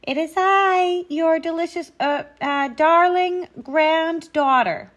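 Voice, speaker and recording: A woman's voice: very high-pitched, slow pace, fairly bright tone, voice pushed up, full character voice. A woman voicing a storybook wolf who pretends to be someone harmless, in a cloying, falsely sweet voice. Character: wolf faking a sweet high granny voice.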